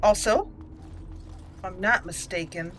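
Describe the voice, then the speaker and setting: A woman's voice: mid-range voice, a little slow. Chatty woman commentating over game noise. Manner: thinks aloud, chatty self-talk. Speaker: game streamer narrating a roleplay playthrough. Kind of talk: casual let's play commentary.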